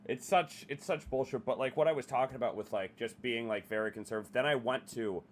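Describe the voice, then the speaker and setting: A man's voice: medium pitch, fast talker, slight background noise. A young man hosting a podcast in casual chat, blunt and profane, ranting about his opinions. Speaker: podcast host.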